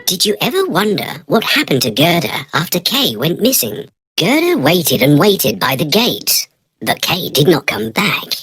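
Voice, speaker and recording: A woman's voice: lowish voice, very animated, a little slow, a bit bright, slightly rough, soft background music. A woman narrating a children's storybook from a script.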